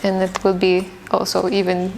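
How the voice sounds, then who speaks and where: A young woman from Tunisia who speaks English as a second language after Arabic, talking as a guest teacher in a casual interview, thoughtful and pausing often to restart her sentences. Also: mid-range voice, completely flat delivery, vocal fry, a little mellow, slight background noise, low-quality recording. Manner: thoughtful, with frequent hesitations and restarts.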